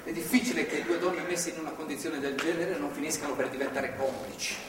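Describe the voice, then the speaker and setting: An actor playing a stern, moralizing religious zealot who holds a woman captive, in a deliberate, authoritarian stage delivery. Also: fairly high pitch, fairly even intonation, lightly acted. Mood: solemn preaching. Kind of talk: live stage drama acting. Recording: distant, noisy, echoey theatre hall recording.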